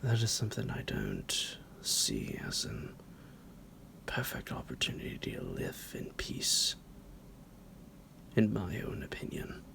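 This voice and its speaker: A man playing a psychotic vampire doctor in an ASMR roleplay, smug and menacing, with a slow, theatrical, teasing delivery in a gravelly half-whisper. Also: wildly swooping pitch, a bit bright, full character voice. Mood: smug, sinister. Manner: slow, drawn-out, theatrical, halting, with low chuckles.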